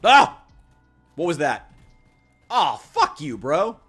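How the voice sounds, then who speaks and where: Animated, profane male streamer. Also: slow pace, soft background music. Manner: animated, profane, swings between loud hype and low mutters. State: losing his voice. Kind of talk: casual live-stream chat while gaming.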